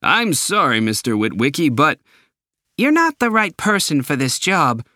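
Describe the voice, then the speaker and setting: A man voicing a smug, cold-eyed male company boss in a storybook reading, icily polite with sudden angry shouts. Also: on the high side, very animated, measured pace, dry recording, clean studio sound, voice pushed up, full character voice. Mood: coldly smug. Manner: icy politeness breaking into shouting.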